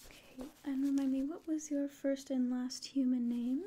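A woman in her twenties doing a whispered ASMR roleplay in a soft, breathy, gentle and reassuring voice, close to the mic. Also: sits high, steady tone, normal speed, slight background noise. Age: 20s. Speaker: ASMR performer playing an alien medical examiner. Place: small indoor room.

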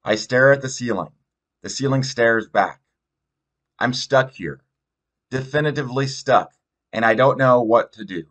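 A man reading a novel aloud in an expressive storytelling style. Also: mid-range voice, a little slow, long pauses. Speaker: audiobook reader.

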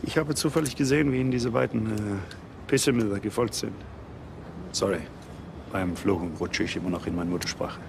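A self-mocking Danish stranger in his forties, speaking German with a Danish accent in a low, gravelly voice. He jokes about himself and apologises often, and street noise runs behind him. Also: fairly even intonation, a little fast. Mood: wry. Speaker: witness.

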